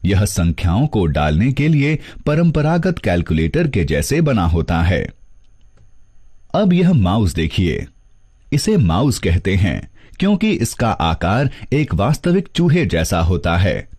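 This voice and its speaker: A man reading scripted instructional narration, explaining things step by step in a measured teaching manner. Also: on the low side, big pitch swings, darkish tone, breathy edge. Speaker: narrator of a computer course.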